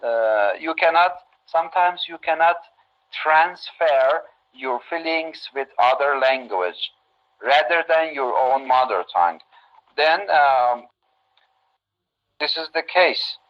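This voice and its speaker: Slow, halting Iranian man teaching. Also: a little high, expressive, lo-fi sound. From Iran. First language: Turkish. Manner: halting, many fillers and pauses. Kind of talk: online class talk to students.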